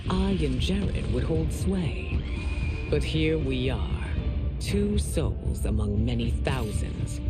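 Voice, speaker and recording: Casting: male actor for grave ghostly monarch narrating a game, slow and formal, under loud music. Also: mid-range voice, close to an everyday voice. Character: solemn dead ruler's soul narrating from limbo. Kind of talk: scripted dramatic game narration.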